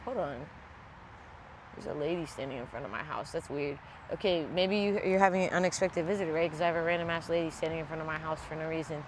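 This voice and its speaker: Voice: mid-range voice, fast talker, noisy background. A tarot reader with a congested, stuffy voice, giving a casual reading at home in blunt, profane everyday talk. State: congested from a sinus infection.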